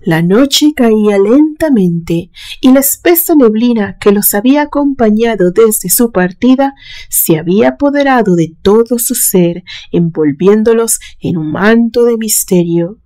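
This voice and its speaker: Mellow-voiced woman narrating suspense. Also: fairly high pitch, expressive, measured pace. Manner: solemn, suspenseful storytelling. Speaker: narrator, literary channel host. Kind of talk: dramatic scripted story narration.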